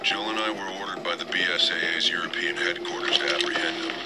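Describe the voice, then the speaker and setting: An actor playing an earnest, determined soldier in a video game, speaking in clipped, urgent military style. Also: male voice, low voice, fast, heavy background noise, natural voice. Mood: grave, measured. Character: earnest, determined male soldier and police officer in action. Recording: game clips under loud music.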